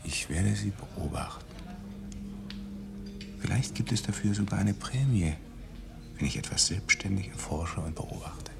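A man playing an obedient slave labourer in a science fiction radio play, with a gravelly, very deep voice. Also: expressive, unhurried, pauses often, whispered, full character voice. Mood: mild, curious. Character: worn, obedient male slave labourer in a computer-ruled future.

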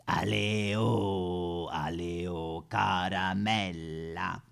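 A man playing a dim, gruff, bored football-mad husband with a Roman accent in a satirical stage sketch. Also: low voice, fairly even intonation, extremely slow, fairly bright tone, exaggerated voice. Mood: slow, oblivious.

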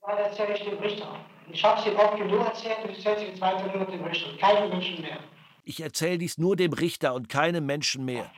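A man's voice: high-pitched, fairly even intonation, quick pace, roomy sound, poor audio. A man being questioned by a prosecutor, agitated and defiant, repeating himself over and over. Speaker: suspect under interrogation. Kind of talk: police interrogation tape.

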